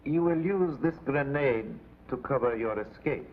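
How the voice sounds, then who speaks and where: An actor playing a solemn conspirator commander, formal and deliberate, in a 1950s TV drama. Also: male voice, mid-range voice, lightly acted. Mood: solemn, commanding. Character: solemn male conspirator commander giving mission orders. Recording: tinny, very noisy 1950s TV soundtrack.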